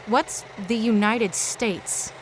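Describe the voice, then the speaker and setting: A woman's voice: a little high, slow pace, noisy background. An actress playing a level-headed woman survivor in an audio drama, practical, dry and matter-of-fact. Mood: puzzled. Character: level-headed, reasoning female survivor, calming her partner.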